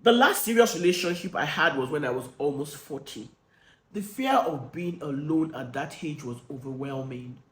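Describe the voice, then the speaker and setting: A man with a croaky cold voice reading the letter of a hurt, guarded woman in her late forties. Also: sits low, animated, normal speed, echoey room. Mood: confessional. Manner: earnest, confessional reading.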